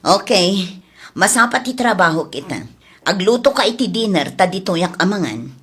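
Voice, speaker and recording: Woman as bossy filipino madam, scolding and brisk, comedy drama boss lady of the house. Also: mid-range voice, animated, a bit bright, slightly rough. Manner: commanding, scolding. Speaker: actor.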